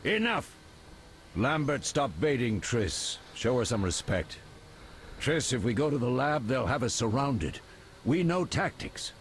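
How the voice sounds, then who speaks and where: A man playing a stern old mentor of monster hunters in a video game, measured and commanding. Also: medium pitch, very animated. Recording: game audio with faint background noise.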